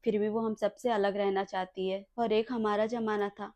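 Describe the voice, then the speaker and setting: A woman voicing a domineering older mother-in-law in a family drama skit, cutting and loud when she scolds. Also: medium pitch, fairly even intonation, normal speed, natural voice. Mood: sad, soft.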